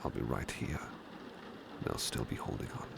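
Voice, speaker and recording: A man playing a devoted, gentle British butler in an ASMR roleplay, speaking softly and breathily, often in a whisper, with tender deference. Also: normal speed, close to an everyday voice. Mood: soothing, affectionate. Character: devoted, gentle male butler soothing a sleepy master. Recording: clear background ambience noise, rain. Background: rain ambience.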